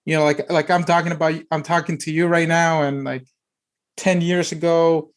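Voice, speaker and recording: A man's voice: fairly high pitch, little pitch movement, moderate pace. A man hosting a podcast, asking questions in a rambling way with many restarts. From United States. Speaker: podcast host, former player agent. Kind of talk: casual interview conversation.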